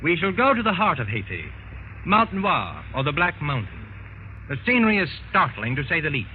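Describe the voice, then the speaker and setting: A dull, noisy old radio recording carries a haunted investigator. The delivery is theatrical and ominous, fairly high and bright, swinging widely as the grim tale unfolds in old radio drama style. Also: male voice, very animated, normal speed. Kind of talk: vintage radio drama acting.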